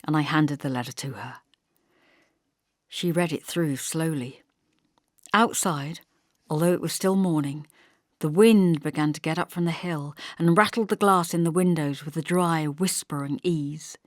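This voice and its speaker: A woman reading a literary first-person story as an audiobook narrator, measured and expressive. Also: deep voice, no room sound, studio quality.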